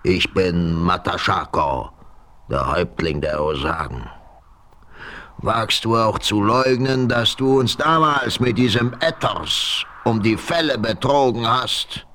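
A proud chief in an old radio drama. He accuses and declares in a very deep voice. He speaks slowly and gravely. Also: animated, sharp tone, a little hiss or hum, full character voice. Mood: accusing. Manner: solemn, accusing. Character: proud, vengeful Native chief, man. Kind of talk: 1970s radio play acting.

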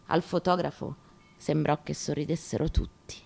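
A woman's voice: deep voice, fairly even intonation, moderate pace, vocal fry, darkish tone, faint music behind. A woman performing a dramatic literary reading in a solemn, declamatory manner, phrase by phrase. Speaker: actress reading on stage.

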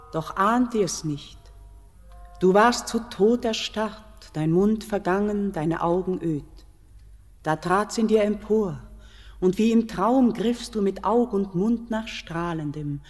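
An actress playing a mysterious mountain queen in a classic German radio play, solemn and incantatory, tender yet commanding. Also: mid-range voice. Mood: solemn incantation. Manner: solemn, incantatory verse delivery, hushed at times. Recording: radio play with long hall reverb.

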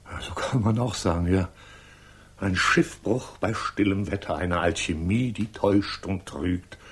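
An actor playing a theatrical, pompous and evasive lodging-house caretaker in a 1960s German radio crime drama. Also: male voice, deep voice, measured pace, a little hiss or hum. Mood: florid, confiding. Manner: florid, grandiose, evasive. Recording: 1960s studio radio play.

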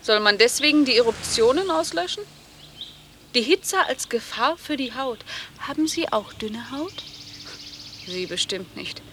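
Volatile woman hitchhiker, high bright voice, slow theatrical delivery, mocking, radio drama, faint tape noise. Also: expressive. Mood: sarcastic. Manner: abrasive, theatrical, swinging between taunts and pleading. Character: brash, sarcastic, volatile female hitchhiker, restless and mocking. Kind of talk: German radio drama acting.

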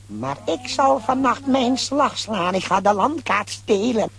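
An actor playing a sly, scheming villain with a sneering, conspiratorial manner in a children's audio drama. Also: male voice, very high voice, measured pace, vocal fry, fairly bright tone, husky, full character voice.

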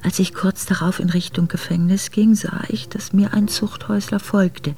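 An actress playing an earnest, reflective exiled noblewoman, narrating her memoir in a measured way for a radio drama. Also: mid-range voice, steady tone, dark tone, in a whisper, close to an everyday voice. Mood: grave, reflective. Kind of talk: literary first-person radio drama narration.

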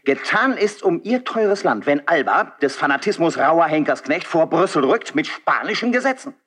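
A man's voice: a little high, sing-song, normal speed, a bit bright, slightly rough, exaggerated voice. A man playing a noble, idealistic marquis and loyal friend in a classical German verse radio drama, declaiming earnestly and eloquently. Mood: solemn appeal.

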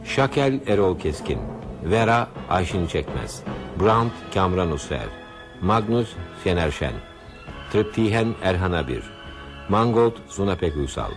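A man announcing a radio drama, reading scripted credits. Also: deep voice. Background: music.

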